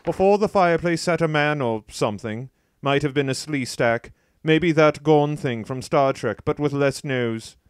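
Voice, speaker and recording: Deadpan man narrating a comic audiobook. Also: on the high side, normal speed, few pauses, smooth, no echo.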